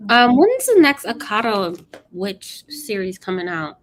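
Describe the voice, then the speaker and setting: A woman in her late thirties co-hosting a casual book chat, chatty and quick to laugh, reading out viewer comments. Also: mid-range voice, wildly swooping pitch, slight background noise. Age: late 30s. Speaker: co-host of a book livestream.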